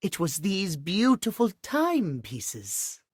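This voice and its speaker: A man voicing a fussy, genteel clock gentleman in a video game, with prim, formal, old-fashioned diction. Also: sits high, wildly swooping pitch, takes it slowly, slightly rough, no echo, clean studio sound, exaggerated voice. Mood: polite, tender. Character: fussy, genteel, punctual clock gentleman doting on pocket watches. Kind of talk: video game character acting.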